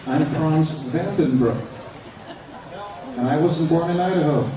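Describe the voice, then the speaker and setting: A man's voice: a little high, a little slow. Older man speaking in an echoey hall. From Indonesia. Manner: dry humour, plain-spoken storytelling. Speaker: speaker at a veterans event. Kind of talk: prepared speech to an audience. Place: large echoey hall, recorded from far off. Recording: echoey hall, distant camera, background noise.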